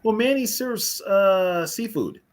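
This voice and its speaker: A man's voice: high voice, animated, slow. A chatty man co-hosting a casual fan stream. His voice moves up and down a lot as he talks, and he laughs easily. He talks to the viewers in the chat. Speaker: co-host of a fan podcast.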